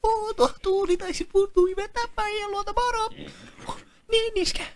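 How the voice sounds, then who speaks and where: A squeaky young cartoon boy in a homemade comedy dub. He is naive and hesitant, with an extremely high, childlike voice that sounds reluctant to go along. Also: steady tone, a crowd in the background. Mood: hesitant, chatty.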